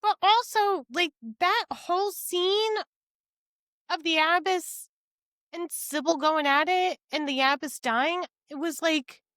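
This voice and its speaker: A woman's voice: very high voice, takes it slowly, a bit bright, dry recording, studio quality. A woman co-hosting a podcast, chatting casually about books in a blunt, emphatic way with some swearing. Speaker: podcast co-host. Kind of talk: casual book review chat.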